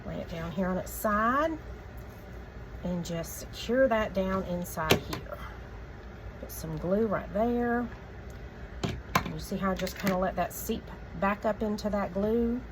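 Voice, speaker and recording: A woman hosting a craft tutorial, giving relaxed, chatty step-by-step instructions in a voice a little croaky from a cold. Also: mid-range voice, takes it slowly, pauses often, very noisy.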